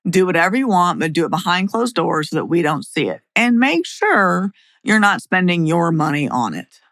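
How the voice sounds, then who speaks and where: A woman's voice: lowish voice, very animated, normal speed, fairly bright tone, dry recording, studio quality. A middle-aged woman speaking as a podcast host and attorney, in a blunt, plain-spoken way. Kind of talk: scripted narration and opinionated podcast talk.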